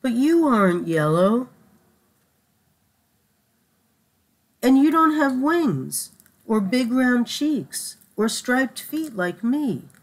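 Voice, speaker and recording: Storybook little boy bird played by a woman: lonely, earnest, plaintive, higher-pitched. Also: big pitch swings, takes it slowly, exaggerated voice. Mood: surprised. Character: small lonely young male bird seeking a mother.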